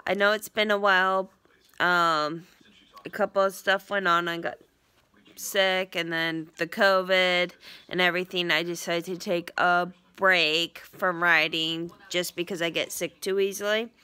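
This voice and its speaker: A young woman talks into her phone from the couch, her voice stuffy and congested from a sinus infection. Her tone sits fairly low yet bright and clear, and she rambles along with plenty of ums and restarts. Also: flat delivery, unhurried. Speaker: vlogger. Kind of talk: casual vlog update. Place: small living room indoors, on a couch. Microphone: phone front camera at arm's length.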